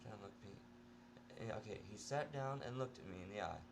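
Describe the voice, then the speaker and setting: A man's voice: on the low side, expressive, fairly quick, creaky, noisy background. A man narrating a first-person story as an amateur reader, informal and prone to stumbling over words. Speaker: amateur fan fiction reader.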